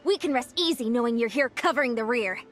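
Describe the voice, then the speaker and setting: A woman's voice: a little high, lively intonation, fast, faint music behind, close to an everyday voice. A woman voicing an earnest young squad fighter in a video game. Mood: relieved.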